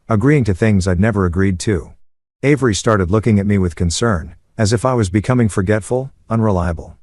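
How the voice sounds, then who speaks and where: A man narrating a first-person story in a steady, measured way. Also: on the low side, dry recording, studio quality.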